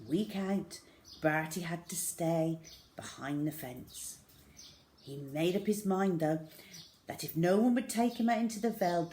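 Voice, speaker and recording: A woman reading a children's story aloud in a measured, expressive, dramatic way with hushed emphasis. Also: sits low.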